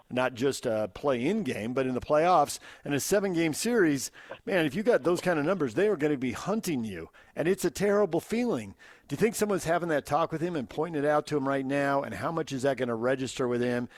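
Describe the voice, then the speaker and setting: A man speaks in a medium-pitched voice with a dry, close studio sound. He carries the talk as a sports radio host, conversational in delivery. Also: expressive, a little fast, dry recording.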